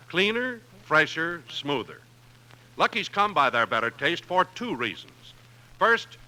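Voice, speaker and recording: American guy, old radio announcer, super bright punchy voice, bit high, formal and emphatic. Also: poor audio. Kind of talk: 1950s scripted radio announcing and ad reading. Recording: old radio broadcast, faint audience noise.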